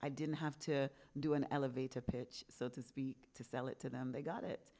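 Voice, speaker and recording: Very low-voiced woman in her fifties. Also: fairly even intonation, moderate pace, dark tone. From England. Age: 50s. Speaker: podcast host being interviewed. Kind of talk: candid conversational storytelling.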